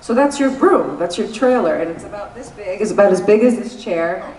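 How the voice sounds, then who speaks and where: A woman's voice: mid-range voice, animated, brisk, darkish tone. A woman with a New York accent giving a candid, laughing convention interview and swearing freely. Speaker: guest actress. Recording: echoey convention room, mic at a distance.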